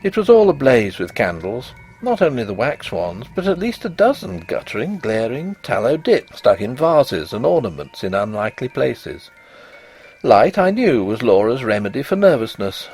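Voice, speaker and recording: Casting: male storyteller for gothic fiction, theatrical delivery, mid-range husky voice with highly animated pitch. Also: moderate pace, soft background music, rough recording. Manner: expressive, theatrical. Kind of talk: dramatic first-person literary ghost story narration.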